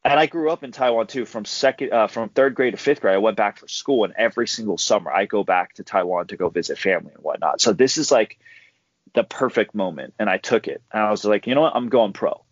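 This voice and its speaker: A young man, a professional fighter, telling stories in a relaxed way as a guest on a casual podcast interview, laughing easily. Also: fairly low pitch, quick pace, dry recording. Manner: laid-back storyteller who laughs easily. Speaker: guest, pro MMA fighter. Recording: dull dry audio.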